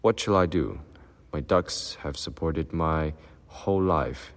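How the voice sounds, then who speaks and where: A man's voice: extremely low pitch, slow pace, in a large hall, exaggerated voice. A man doing a blunt, stubborn old peasant. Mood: troubled. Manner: blunt, coarse, toneless at times.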